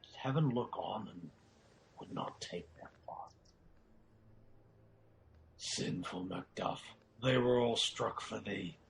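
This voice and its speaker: A man's voice: on the low side, takes it slowly, vocal fry, pauses often, background noise, heavily acted. A man playing an emotional, grave nobleman in a Shakespearean radio drama, speaking dramatic verse. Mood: grief-stricken. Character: Macduff, a grief-stricken Scottish nobleman turned vengeful.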